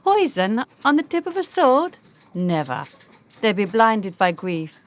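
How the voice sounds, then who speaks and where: A woman's voice: on the high side, very animated, a little slow. An actress playing a poised, confident and scheming young noblewoman in a radio drama. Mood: smug. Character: scheming, self-assured young runaway noblewoman.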